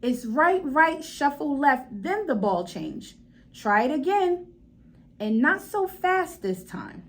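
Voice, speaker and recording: Woman playing a storybook dad, firm, reassuring, emphatic coaching, animated intonation. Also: sits high, slow, exaggerated voice. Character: encouraging, firm father teaching his young daughter.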